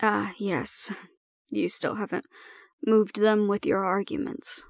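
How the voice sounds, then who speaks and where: A woman playing a calm, formal supervisor in an audio roleplay, speaking in a measured, diplomatic way. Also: medium pitch, gravelly, no echo, clean studio sound. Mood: composed, reassuring. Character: calm, formal female supervisor handing over a hybrid prisoner.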